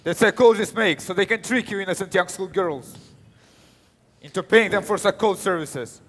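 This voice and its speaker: A woman's voice: mid-range voice, fairly quick, big-room sound, pitched below the performer's own voice, lightly acted. A woman voicing a cruel, contemptuous father who disowns his child in a hobby voice drama. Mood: scornful. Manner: contemptuous, commanding.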